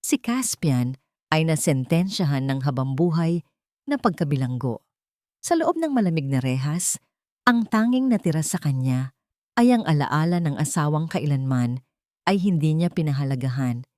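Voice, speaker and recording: A woman with a fairly low voice reads a melodramatic story aloud. She narrates with expressive, dramatic swings in her delivery. The sound is dry and close, with no room echo. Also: normal speed.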